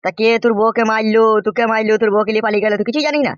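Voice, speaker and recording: A man's voice: high voice, racing, dry recording, lightly acted. A man voicing a nosy, exasperated village uncle in a comic cartoon, impatient and questioning, with a rural West Bengal accent. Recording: dull lo-fi sound.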